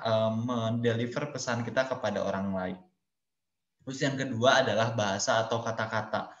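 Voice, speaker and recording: Man giving a casual numbered-point webinar talk. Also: mid-range voice. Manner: explains step by step in numbered points; casual, addresses listeners as friends. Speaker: webinar speaker. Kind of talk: webinar lecture. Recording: roomy, dull lo-fi webinar audio.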